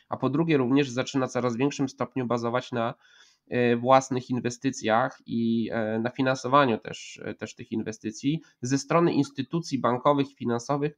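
A man in his thirties giving a measured geopolitical analysis over a video call from a room at home, with many hesitations and restarts. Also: mid-range voice. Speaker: geopolitics commentator. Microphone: video call, earphones with mic. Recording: slightly dull lo-fi call audio.

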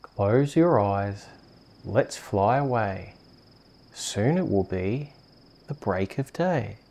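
An Australian man hosting and reading bedtime stories in a soft, soothing, unhurried ASMR storytelling manner. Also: lowish voice, lively intonation. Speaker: host reading bedtime stories on a video channel.

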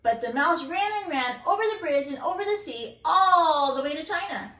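A woman's voice: high voice, lively intonation, normal speed, bright, forceful tone, echoey room. A woman narrating a children's storybook expressively, with dramatic, emphatic phrasing. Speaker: storybook reader.

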